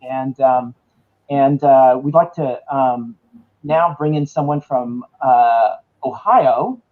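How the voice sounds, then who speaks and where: A man's voice: medium pitch, slow. Hesitant male interviewer, dull lo-fi sound. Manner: hesitant, many fillers. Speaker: interviewer at a book launch. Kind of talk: interview questions.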